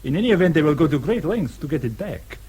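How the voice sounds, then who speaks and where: An actor playing a grave, courteous German man in a radio drama, speaking formally and deliberately with a German accent. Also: a little high, big pitch swings, darkish tone, breathy edge, full character voice. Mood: grave, confiding. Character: courteous, principled German man, grave confidant or consular clerk.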